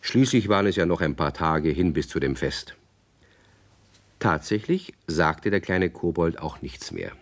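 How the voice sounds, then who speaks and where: An actor as the storyteller of a children's radio play, narrating calmly from a script. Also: male voice, low voice, unhurried, exaggerated voice. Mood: measured storytelling.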